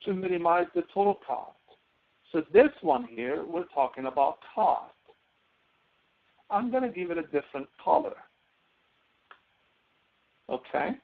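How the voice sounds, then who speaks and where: A man's voice: medium pitch, vocal fry. A man giving a step-by-step tutorial lecture as an instructor, often asking questions and answering them himself. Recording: narrow phone-like audio.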